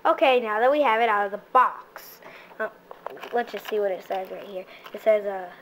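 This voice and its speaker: A woman's voice: mid-range voice, normal speed. A woman hosting a playful homemade cooking show in a kitchen, bossy and offhand, with lots of hesitant fillers, recorded on a handheld camera. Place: home kitchen. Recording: noisy home camera recording.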